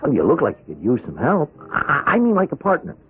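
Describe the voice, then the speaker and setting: An actor playing an eager young small-time hustler in a radio drama, with an American accent and a slangy, hesitant, nudging way of talking. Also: male voice, on the high side, wildly swooping pitch, fast, husky, phone-quality sound, exaggerated voice. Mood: urgent, pushing. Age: young adult. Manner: slangy, hesitant, nudging, tags phrases with "huh". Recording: band-limited radio drama with music.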